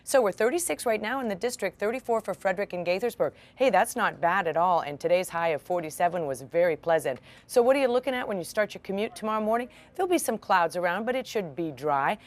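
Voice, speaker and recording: A woman's voice: mid-range voice, lively intonation, quick pace, hardly any pauses. A woman with an American accent giving a conversational weather forecast on television.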